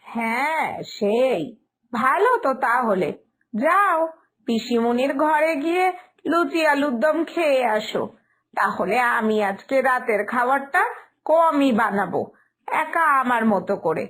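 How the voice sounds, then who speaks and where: A fussy older mother-in-law in a cartoon family tale, played by a woman. Her voice is high, and she explains herself in a firm, stubborn way. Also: expressive, slow, a bit bright, full character voice. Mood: firm, dismissive. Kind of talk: cartoon story dialogue.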